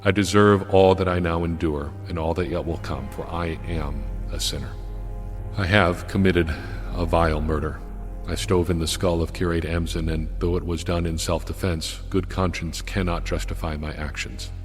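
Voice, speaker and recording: A man's voice: low-pitched, fairly even intonation, normal speed, close to an everyday voice. A man playing a guilt-ridden, devout soul confessing in a final letter, speaking in formal, archaic, solemn phrases. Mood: solemn remorse. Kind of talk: solemn audiodrama letter reading. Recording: long hall reverb over music.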